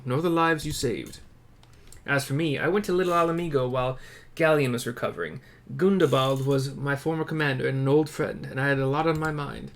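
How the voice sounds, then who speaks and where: A seasoned resistance soldier in a fantasy game, read out by a man. He is friendly and earnest, speaking steadily in a mid-pitched voice. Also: lightly acted.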